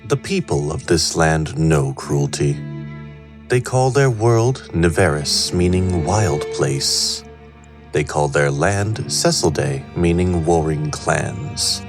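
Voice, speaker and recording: A man performing measured, solemn scripted narration of fantasy lore. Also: rumbling low, lively intonation, full character voice. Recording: music behind the narration.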